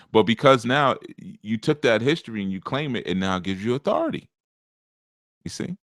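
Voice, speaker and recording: A man's voice: mid-range voice, expressive, moderate pace, a bit bright, dry recording, studio quality. A man giving a casual spiritual teaching on a live show, talking in a conversational, rambling way with many asides.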